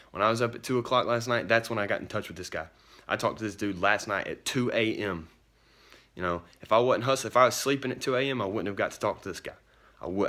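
A man's voice: fairly low pitch, bright, forceful tone. A young adult man chatting casually to camera as a vlogger, upbeat and slangy, in short punchy phrases. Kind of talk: casual vlog chat to camera. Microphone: camera at arm's length or on table.

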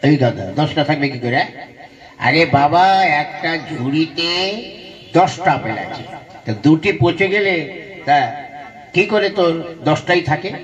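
A man's voice: a little high, unhurried, pauses often, close to an everyday voice. A man playing an exasperated village schoolmaster in a comic puppet show, questioning and exclaiming in bafflement. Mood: baffled. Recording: dull lo-fi sound.

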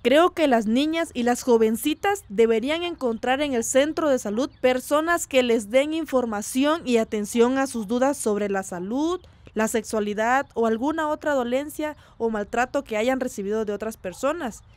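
An actress playing an earnest village community activist being interviewed in a radio drama, measured and sincere. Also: female voice, fairly high pitch, darkish tone, no echo, natural voice.

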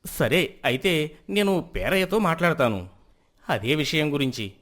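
Animated, proud merchant husband, acted by a man, high-ish voice. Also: unhurried. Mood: agreeable. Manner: animated, self-justifying, conceding. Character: proud, status-minded rich merchant, middle-aged husband and father. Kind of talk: moral story dialogue.

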